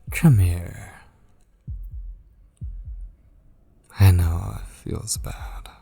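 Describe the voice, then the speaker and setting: A man playing a possessive, obsessed kidnapper in an intimate ASMR roleplay, coaxing with menacing tenderness in a breathy voice that drifts toward whispers. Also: on the low side, heavily acted. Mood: tenderly coaxing. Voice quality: breathy, husky. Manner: slow, coaxing, menacingly tender, lingering on phrases. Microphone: close studio microphone.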